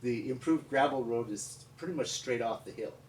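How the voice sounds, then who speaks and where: An American man telling stories in an unscripted, conversational way, with a rambling, halting delivery. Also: medium pitch, darkish tone. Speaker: paleontologist and museum founder telling stories. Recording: echoey, distant mic with background noise.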